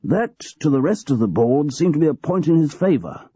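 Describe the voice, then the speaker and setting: A man's voice: on the high side, very animated, normal speed, warm tone, slightly rough, dry recording, voice pushed up. A man reading the part of an aging, petulant department head who talks in a testy, querulous way, on a dull lo-fi recording. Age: older.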